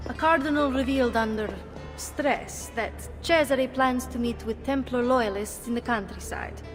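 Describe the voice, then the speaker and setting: Woman playing a brisk informant, echoing voice. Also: a little high, music in the background, natural voice. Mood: businesslike. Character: brisk, businesslike female informant. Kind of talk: video game cutscene acting.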